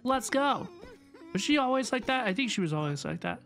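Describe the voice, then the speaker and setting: A woman giving casual, excited reaction commentary as a video host, exclamatory and slangy, often repeating herself. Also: on the high side, fairly quick.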